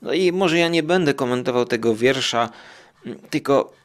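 A man's voice: mid-range voice, moderate pace. A man hosting a podcast solo in a casual, rambling, conversational way, often correcting himself. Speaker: podcast host. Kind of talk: casual solo podcast talk with poem reading.

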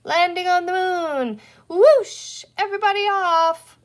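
A woman voicing a playful, stubborn little boy in a story, excitable and quick to plead or protest. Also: extremely high pitch, pitched above the performer's own voice. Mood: zooming play.

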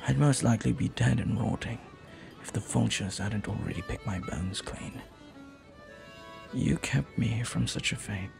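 A man playing a flirty, earnest pirate captain in an intimate audio roleplay, speaking softly and haltingly with tender devotion. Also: fairly low pitch, creaky, over music. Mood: earnest, grateful. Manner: soft, halting, tender, sometimes near whisper.

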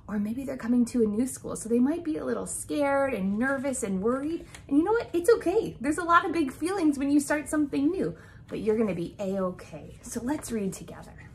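Animated, high-voiced young woman reading to children. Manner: expressive, sing-song, addressing young children. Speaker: kindergarten teacher. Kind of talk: children's picture book read-aloud. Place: small indoor room with decorated walls.

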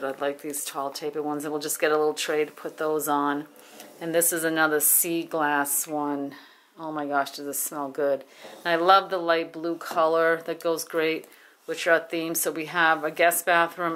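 A woman's voice: low-pitched, flat delivery, moderate pace, fairly bright tone. A woman vlogger chatting enthusiastically as she shows off food, a farm market and shopping finds. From New England. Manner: chatty, enthusiastic show-and-tell. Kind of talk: casual vlog narration. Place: farm market barn and home table.

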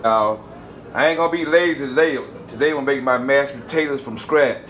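A man's voice: mid-range voice, a little fast, slight background noise, poor audio. A man from the South, chatting casually as he cooks in his home kitchen and talks straight to his viewers. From Southern United States. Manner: relaxed, chatty, addresses viewers as "y'all". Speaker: cooking channel host. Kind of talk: casual cooking vlog narration.

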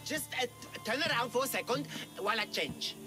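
A man voicing a hapless, cowardly villager who poses as a monster slayer, theatrical, bumbling and excitable, in a video game. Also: very high voice, fairly quick, loud music behind the voice. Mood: grateful, nervous. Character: hapless, melodramatic, cowardly male villager posing as Minotaur slayer. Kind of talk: video game character acting.

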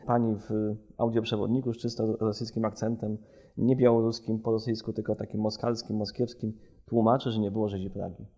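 A man's voice: lowish voice, flat delivery, a little fast, dark tone. A man from Poland speaking as a researcher in a podcast, discursive, building his arguments in long chains.

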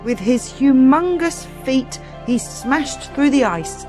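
A woman reading a children's story aloud as dramatic, expressive, suspenseful narration. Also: high-pitched, slow pace. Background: music.

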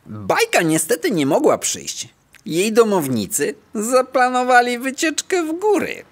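A woman's voice: fairly high pitch, huge pitch swings, a little slow, exaggerated voice. A woman voicing a small, breezy, self-assured male terrier with slangy patter in a children's audio play. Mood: breezy, eager.

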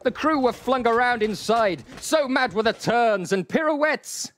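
A man's voice: very high-pitched, lively intonation, unhurried, a bit bright, a little hiss or hum. A man narrating a comic live radio play in a playful, emphatic storybook manner.

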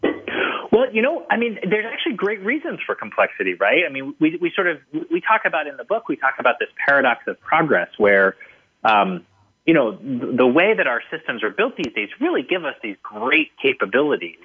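A man's voice: mid-range voice, very animated, fairly quick, slightly rough. A man being interviewed as a book's author, answering thoughtfully with many fillers and restarts. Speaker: guest author. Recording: tinny phone-line audio.

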